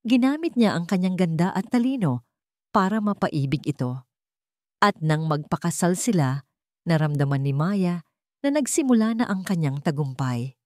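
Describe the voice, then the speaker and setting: A woman narrating a scripted love drama with deliberate, emphatic phrasing. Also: fairly low pitch, a little mellow, dry recording, clean studio sound. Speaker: story narrator.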